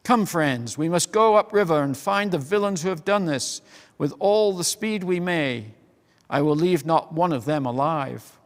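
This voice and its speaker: A man's voice: a little high, unhurried. A man voicing a noble, rash young king who speaks in a commanding, indignant way. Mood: resolute. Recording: long hall reverb.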